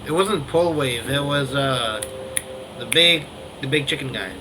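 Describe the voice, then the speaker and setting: A man's voice: mid-range voice, a little slow, noisy background. A man giving casual, offhand commentary while playing through a story game. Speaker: gamer reacting to a story quest.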